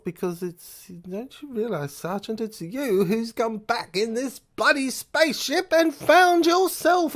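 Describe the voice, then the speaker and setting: A woman playing an obsessive, rambling philosopher in an audio drama, stammering and repeating words. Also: on the high side. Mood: insistent, rising. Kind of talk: dramatic audio play monologue.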